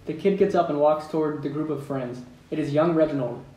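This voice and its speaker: Guy narrating a script table read, bit high voice, echoey and far from mic. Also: brisk, mellow. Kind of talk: table read of stage directions. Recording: echoey room, distant mic, background noise.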